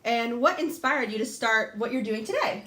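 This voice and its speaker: A woman's voice: a little high, animated, normal speed, fairly bright tone. A young woman hosting a scripted mock talk-show interview in an upbeat, read-from-script manner. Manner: upbeat, reads questions from a script. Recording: echoey room.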